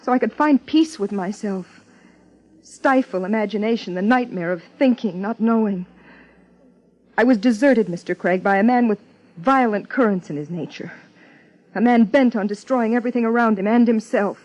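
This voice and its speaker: An actress playing a guarded, fragile young wife in 1950s radio drama style, breathy and defensive. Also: female voice, on the high side, moderate pace, a little mellow, close to an everyday voice. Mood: troubled, guarded. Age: young adult. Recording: dull, band-limited 1950s radio recording.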